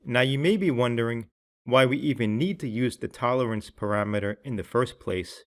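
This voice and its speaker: A man reading scripted tutorial narration in a measured, instructional way, with each phrase clearly enunciated. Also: mid-range voice.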